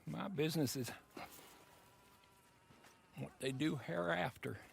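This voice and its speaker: Old American cowboy, highish gravelly voice, folksy, rambling, eccentric, western game cutscene. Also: male voice, takes it slowly, slight background noise, full character voice. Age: older. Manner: rambling, folksy, talks of himself by name. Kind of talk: video game cutscene acting.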